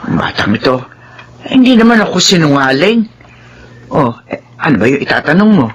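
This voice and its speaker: A woman's voice: sits low, sing-song, normal speed, dull audio, slight background noise. A woman playing a fussy, motherly older household helper in a Filipino radio drama. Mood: concerned, startled. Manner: motherly, fretful.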